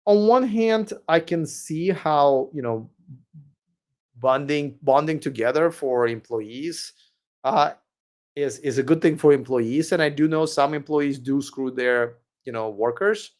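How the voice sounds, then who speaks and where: Hoarse man rambling through a live Q&A. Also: fairly high pitch, a little slow, darkish tone. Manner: rambling, many fillers and restarts. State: losing his voice. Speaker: live stream host. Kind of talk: casual live stream Q&A.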